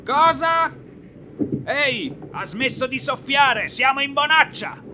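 A man playing an excitable, cheeky ship's lookout with theatrical delivery in a fantasy audio drama. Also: very high voice, slow pace, noisy background. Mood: alarmed.

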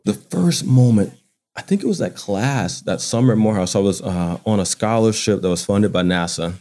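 American guy in his 40s, really low mellow voice, telling stories casually like an interview guest. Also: normal speed. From Baton Rouge, Louisiana, United States. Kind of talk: casual conversational storytelling.

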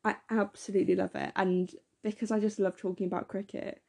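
A teenage girl, a bit hoarse, chatting enthusiastically as podcast co-host with lots of fillers. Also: medium pitch, level tone, brisk, a little mellow. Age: teens. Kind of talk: casual podcast chat.

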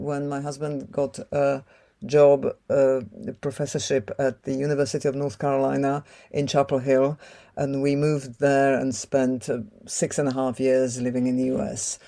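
A woman in her sixties whose first language is Slovak, answering interview questions in a thoughtful way with frequent pauses. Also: extremely low pitch, mellow. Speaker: interview guest, translator.

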